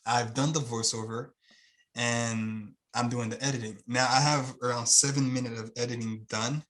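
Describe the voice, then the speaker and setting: A man's voice: medium pitch, steady tone, moderate pace, a bit bright. A man from Haiti with a Haitian accent, a guest talking on a video call in an earnest, rambling way with lots of fillers. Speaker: guest, channel owner. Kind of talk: casual video-call interview.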